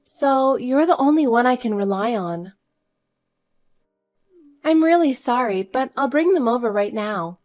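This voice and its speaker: A woman's voice: fairly high pitch, expressive, measured pace, pauses often, darkish tone, faint music behind. A woman voicing an insistent, pleading mother in an acted family story. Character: pleading, inconsiderate adult mother asking for childcare.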